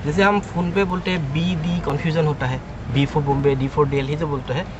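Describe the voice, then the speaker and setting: A middle-aged Indian-accented man with a slightly high voice explains things informally as a radio trainer. He leans on everyday examples and English terms. The recording is muffled, with clear outdoor traffic noise behind him. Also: steady tone, fairly quick. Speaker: ham radio volunteer and trainer. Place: outdoors at an urban portable radio station.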